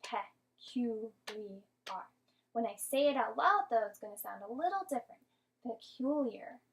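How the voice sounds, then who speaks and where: A woman teacher giving an interactive storybook read-aloud to young children, expressive and patient, often asking and explaining. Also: on the high side, a little slow, gravelly, slight background noise, low-quality recording.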